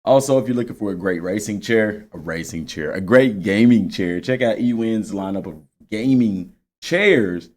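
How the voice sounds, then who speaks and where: A man hosting a gaming video, giving casual, unscripted commentary in an informal, conversational way and thinking out loud. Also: fairly low pitch, normal speed.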